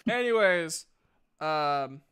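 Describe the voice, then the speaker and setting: A man working as game master, narrating casually and haltingly with repeated words. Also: a little high, expressive, fairly bright tone, clean tone.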